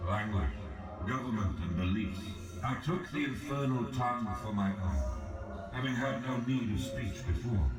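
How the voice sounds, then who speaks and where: An actor playing an ancient, regal god in a fantasy video game, speaking in a grave, measured, formal and aloof manner. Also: male voice, fairly low pitch. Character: ancient, solemn, regal dark god recounting his fallen empire. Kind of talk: scripted game dialogue, slow formal monologue. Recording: roomy echoing voice, distant, music behind.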